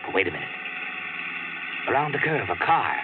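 A man's voice: mid-range voice, big pitch swings, normal speed, noisy background, natural voice. A man voicing an urgent inner voice in a 1940s radio drama, pressing a frightened woman on with second-person commands. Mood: tense. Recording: tinny old radio recording with loud music.

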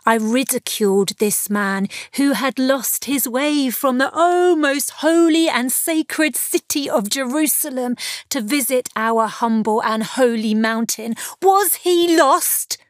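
Woman performing feisty village woman of Samaria, higher-pitched, very measured pace, theatrical, expressive, dramatic scripture storytelling. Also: no room sound, clean studio sound. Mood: mocking. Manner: theatrical, expressive, with deliberate pauses. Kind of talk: dramatic first-person Bible monologue.

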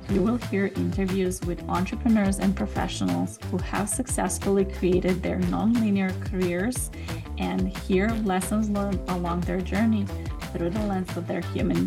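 Woman host for a career podcast, upbeat, mid pitch, soft music underneath. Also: creaky. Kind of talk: scripted intro and interview questions.

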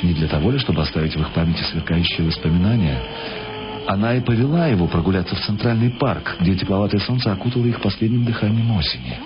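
A man's voice: deep voice, lively intonation, quick pace. A man reading scripted literary narration in the manner of a radio drama. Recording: dull lo-fi radio sound. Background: music behind the voice.

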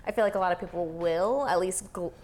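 A woman's voice: fairly low pitch, fast, a little mellow. A young woman interviewing a family member in casual chat, affirming often and chatting freely. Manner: chatty, affirming, frequent backchannel.

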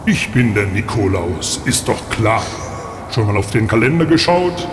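A man playing a stern, ominous Saint Nicholas in an improvised audio play, speaking in a deliberate, imposing way. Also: big pitch swings, over music. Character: stern, ominous Saint Nicholas, a dark stranger demanding a bargain. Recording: long booming reverb, clear background noise.

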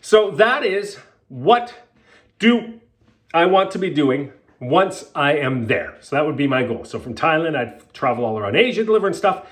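A man's voice: a little high, big pitch swings, normal speed. A man hosting his own podcast as a health coach, talking candidly and emphatically with fillers and the odd swear, a little sniffly from a cold. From Canada. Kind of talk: casual solo podcast monologue.

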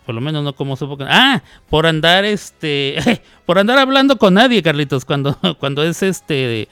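A man's voice: fairly high pitch, lively intonation, normal speed, pushed and bright, clean tone, no room sound, soft background music. A man from Mexico hosting a casual live radio show, chatty and playful, often laughing between remarks, with a northern Mexican accent. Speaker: radio host.

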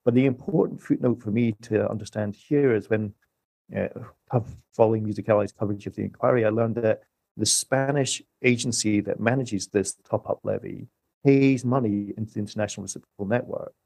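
Man, creaky low voice, dry close sound, economist guest, measured conversational explaining. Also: darkish tone, dry recording.

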